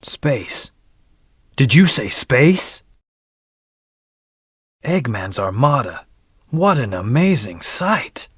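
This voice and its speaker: A man's voice: medium pitch, big pitch swings, very slow, pauses often, slightly rough, no room sound, studio quality, full character voice. A man voicing a serious young hedgehog antihero in a video game, intense and terse. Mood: curious.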